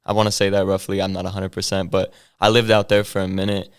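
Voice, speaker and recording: Deep gravelly young man, laid-back. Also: flat delivery, fast. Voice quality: deep bassy voice that he says sounds mumbled. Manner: laid-back slang-heavy storytelling with many fillers. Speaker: hip hop artist guest. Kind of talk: casual interview chat.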